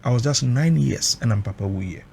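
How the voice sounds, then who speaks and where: A man's voice: medium pitch, expressive, fairly quick, gravelly, darkish tone, husky. A Ghanaian man recounting personal experience emotionally, mixing Twi and English, emphatic and dramatic, repeating phrases for weight.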